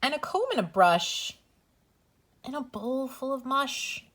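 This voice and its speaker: A woman reading a bedtime picture book aloud for young children as a host, with deliberate phrasing. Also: high voice, lively intonation, a bit bright, a little hiss or hum. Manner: slow, deliberate phrasing for small children, drops into whispers.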